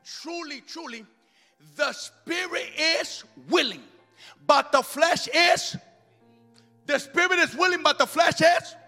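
A man preaching a sermon, swinging between loud shouted lines and quick quieter asides. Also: very high-pitched, creaky. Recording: music under voice in a long-reverb hall.

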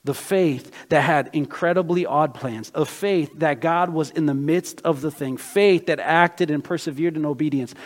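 A man preaching a sermon as pastor, born in Brazil and raised in the US, telling personal stories in an animated way. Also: fairly high pitch, normal speed, hardly any pauses. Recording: long hall reverb.

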